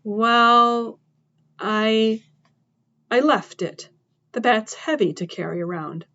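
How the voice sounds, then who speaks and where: A woman's voice: a little high, sharp tone, muffled recording, exaggerated voice. A woman voicing a scared, injured boy who stammers defensively. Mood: nervous. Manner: halting, nervous stammer.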